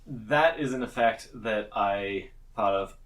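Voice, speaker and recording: A man's voice: fairly low pitch, slow pace, a bit bright, recorded from a distance. A man acting as game master, explaining rules in a casual tabletop game.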